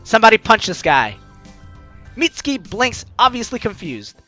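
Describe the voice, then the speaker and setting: Animated, bright-voiced gaming host. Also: mid-range voice, normal speed. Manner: animated, playful, slangy. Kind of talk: lively let's play, reading aloud and reacting. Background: game music.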